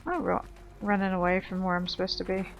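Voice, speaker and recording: A woman's voice: mid-range voice, flat delivery, fast talker, creaky, slight background noise. A woman hosting a let's play with casual, rambling, self-deprecating game commentary. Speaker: gamer, let's play host.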